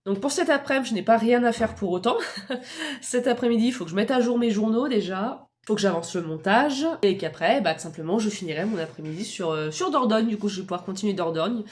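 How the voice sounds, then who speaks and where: A woman's voice: medium pitch, animated, a little fast. A woman in her thirties talking casually and candidly in a personal vlog, with many fillers and light laughs. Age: 30s. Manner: chatty and candid, with frequent fillers and soft laughs. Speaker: vlogger. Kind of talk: casual confiding vlog chat.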